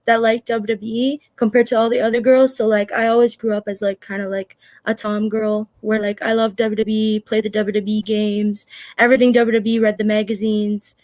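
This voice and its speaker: A woman's voice: mid-range voice, little pitch movement, brisk, a little mellow, no room sound. A young woman from Canada, a wrestler answering interview questions in a chatty way, full of "like" and "um". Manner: chatty, many "like" and "um" fillers.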